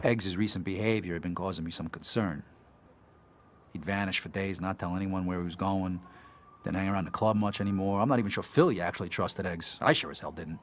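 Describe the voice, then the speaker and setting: An actor playing a bitter, foul-mouthed mobster, narrating a video game story in gruff, cynical street slang. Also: male voice, sits low, brisk, noisy background, lightly acted. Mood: bitter, wary.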